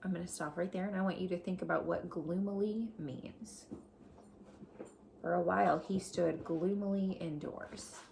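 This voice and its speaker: A woman reads a children's story aloud like a teacher, pausing to explain things to young listeners. Her voice sits on the low side and is noticeably creaky. The recording carries faint background noise. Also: fairly even intonation, darkish tone. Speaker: teacher reading a book aloud to pupils.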